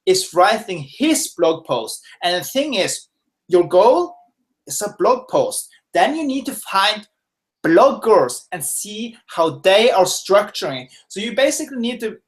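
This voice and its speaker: A man's voice: high-pitched, sing-song, unhurried. A man from Norway, a guest expert giving casual, filler-heavy answers in a webinar interview from his home office. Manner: casual, chatty, lots of fillers and restarts.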